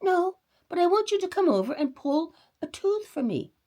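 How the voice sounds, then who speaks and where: Woman voicing an eager, sly old gentleman rabbit. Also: extremely high pitch, big pitch swings, normal speed, voice pushed up.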